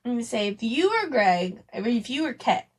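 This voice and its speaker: A woman's voice: a little high, big pitch swings, normal speed. A woman co-hosting a podcast in casual conversation, rambling a little as she thinks aloud. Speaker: podcast co-host. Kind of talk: casual podcast chat about family devotions.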